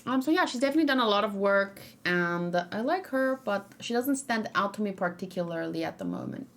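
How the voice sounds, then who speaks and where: A woman's voice: mid-range voice, sing-song, fairly quick, a bit bright, slight background noise. A young woman streaming and reacting live, chatting casually, reading viewer comments aloud and rambling with frequent fillers. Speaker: streamer reacting to game character.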